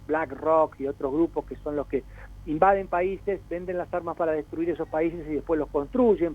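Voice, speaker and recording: An Argentine man with a somewhat high voice speaks over a thin, band-limited phone line. He explains world affairs off the cuff as an interview guest, in a discursive way, acting out imagined quotes along the way. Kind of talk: spontaneous explanatory commentary. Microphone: telephone call. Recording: narrow tinny phone line.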